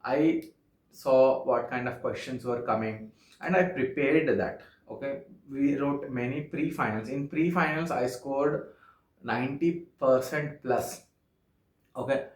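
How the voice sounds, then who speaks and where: Young Telugu-accented man, sincere and halting, mid-pitch, roomy echo. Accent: Telugu-accented English. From Andhra Pradesh, India. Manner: earnest, halting, frequent "okay" fillers. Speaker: vlogger. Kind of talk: personal vlog monologue. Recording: echoey room.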